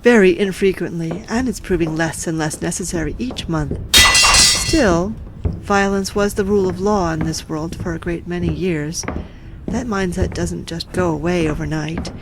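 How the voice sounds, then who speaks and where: A woman playing an authoritative, coolly persuasive occupation official in a science fiction audio drama, formal and measured. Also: lowish voice, very noisy, natural voice. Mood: smooth, self-assured.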